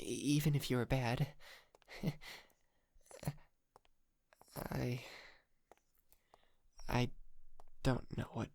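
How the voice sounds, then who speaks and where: Man playing a lovestruck yandere kidnapper, ASMR roleplay, slow pace, hesitant and doting, giggly, close dry recording. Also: medium pitch, gravelly, no echo. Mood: coy, amused. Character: shy, lovestruck, polite yandere man, nervously obsessive kidnapper. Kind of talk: intimate ASMR roleplay acting.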